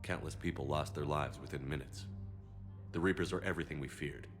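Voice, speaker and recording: An actor playing a steady, stoic military commander in a video game, terse and earnest in his delivery. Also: extremely low pitch, fairly quick, over music. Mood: grim. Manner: terse, earnest, matter-of-fact. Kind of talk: video game cinematic dialogue acting.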